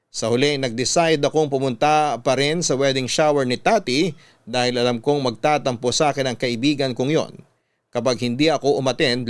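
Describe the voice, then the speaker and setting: A man narrating a scripted first-person story for a radio drama in a measured, storytelling manner. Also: medium pitch, smooth, dry recording.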